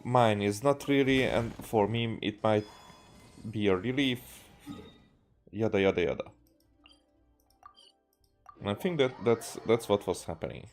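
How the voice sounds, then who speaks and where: A man with a fairly low voice gives commentary on a game. He thinks aloud haltingly, circling back and repeating words. Soft music runs faintly behind him. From Hungary. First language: Hungarian. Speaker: video game streamer. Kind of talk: rambling gameplay commentary.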